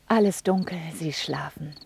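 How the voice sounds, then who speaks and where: A woman's voice: low-pitched, normal speed, vocal fry, darkish tone, a little hiss or hum. A woman playing a brooding, prickly grown daughter who narrates her own story in a radio drama with dry, clipped delivery. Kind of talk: first-person radio drama narration and acting.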